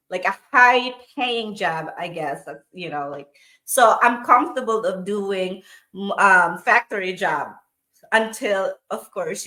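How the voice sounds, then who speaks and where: A woman with a Filipino accent hosting a casual chat, speaking haltingly as she searches for words. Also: lowish voice, bright, forceful tone. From Philippines. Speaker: host, online business owner.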